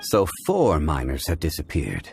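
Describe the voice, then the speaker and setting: An actor playing a refined, composed gentleman scholar in a video game, speaking in a measured, formal and erudite way. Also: rumbling low, huge pitch swings, vocal fry, background noise, exaggerated voice. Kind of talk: video game character acting.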